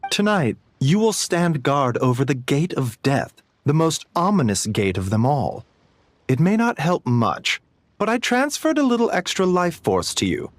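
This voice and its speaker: Courteous, higher-pitched, expressive male healer. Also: no echo. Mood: solemn, caring. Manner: polite, measured, formal wording. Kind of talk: video game cutscene acting.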